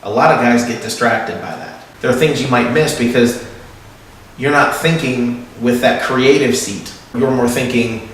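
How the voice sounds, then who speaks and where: A man's voice: mid-range voice, unhurried, a bit bright, slight background noise, rough recording. A man, the organizer of a competition, speaking in an interview in a direct, instructive way. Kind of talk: confessional interview. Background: background music.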